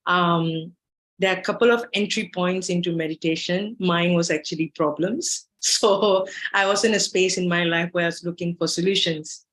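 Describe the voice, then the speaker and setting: A woman's voice: on the low side, steady tone, moderate pace, a bit bright. A woman, a friendly interview guest. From Singapore. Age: 30s. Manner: friendly, calls the host honey.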